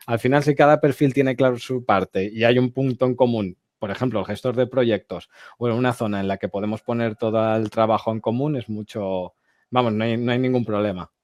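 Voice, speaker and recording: A man's voice: mid-range voice, steady tone, brisk, darkish tone, no echo, clean studio sound. A man in his thirties from Barcelona, a guest speaker explaining things in a casual, chatty way on a video call. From Barcelona, Spain. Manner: chatty, explanatory, laughs easily. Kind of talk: casual presentation and chat. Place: home office, indoors. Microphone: video call with earbud headset.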